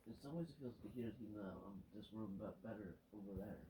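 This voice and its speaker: A man's voice: lowish voice, a little fast. A man bantering casually while playing a video game, teasing and swearing freely. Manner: foul-mouthed, teasing. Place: room in a home. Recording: noisy echoey room, far from mic.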